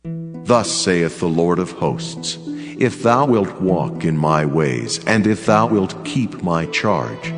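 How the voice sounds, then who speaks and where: Man as a deep, solemn angel in vast reverb. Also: expressive. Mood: solemn, commanding. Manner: solemn, deliberate, declamatory. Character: solemn, commanding angel speaking God's words to a prophet. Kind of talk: dramatized scripture reading. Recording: long reverb, clear music underneath. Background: music.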